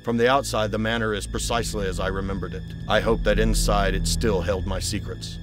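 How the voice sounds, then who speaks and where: A man voicing a brooding, haunted young gentleman heir in a motion comic, formal, solemn and deliberate. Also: on the low side, steady tone, over music, lightly acted. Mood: reflective. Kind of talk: dramatic motion-comic acting and diary narration.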